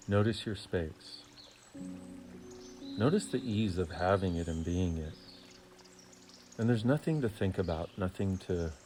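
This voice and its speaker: A man leading a guided meditation in a soothing, deliberate way, with short phrases and long pauses. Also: sits low.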